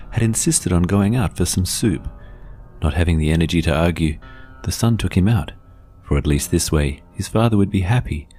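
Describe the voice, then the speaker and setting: A man with a very low voice tells a horror tale as a scripted narration. His delivery is measured and deliberate, with faint music behind him. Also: mellow, husky.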